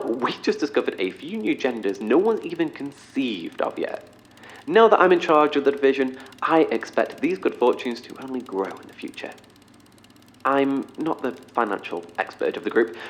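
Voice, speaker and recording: An actor playing an eccentric professor in an audio drama, speaking in a formal, wordy, slightly awkward corporate manner. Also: male voice, medium pitch. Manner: formal, wordy, halting under pressure. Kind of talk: orientation film and meeting speech in audio drama.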